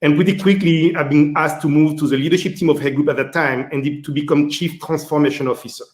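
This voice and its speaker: A man's voice: fairly high pitch, steady tone, fast. A man from Martinique with a French accent, answering interview questions as a guest executive, hesitant and full of fillers. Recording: roomy echo.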